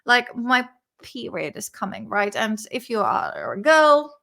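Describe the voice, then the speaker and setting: Young Czech woman, vlog host; crisp, bright tone, a bit high; loose, sweary, giggly study-along chat. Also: very animated. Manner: chatty, swears freely, laughs often; sounds words out slowly and repeats them.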